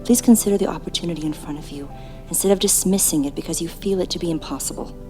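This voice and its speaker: A woman playing a composed, formal hospital doctor in an audio drama, measured and earnest. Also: on the low side, mellow, soft background music, natural voice. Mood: measured, persuasive. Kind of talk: dramatic audio play acting.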